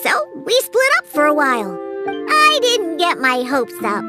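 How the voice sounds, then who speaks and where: A woman's voice: sits high, huge pitch swings, a little slow, heavily acted. A woman voicing a grumpy, sceptical young cat-girl bartender in a video game, huffy and sardonic. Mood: matter-of-fact, doubtful. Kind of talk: video game cutscene voice acting. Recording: loud game music behind voice.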